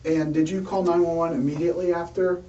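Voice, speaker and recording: A male detective questions slowly and hesitantly, circling back over his own words. His voice is fairly high but mellow. He sounds distant and muffled in an echoey interrogation room. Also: steady tone, slight background noise. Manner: hesitant, repeats himself, many fillers. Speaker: police detective. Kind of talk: police interrogation.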